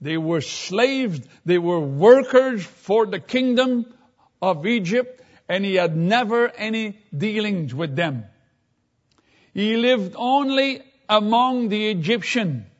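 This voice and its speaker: A man with a high voice preaching a slow, deliberate sermon. He teaches from scripture, reading passages aloud and unpacking them line by line. The recording sounds dull and lo-fi. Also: animated.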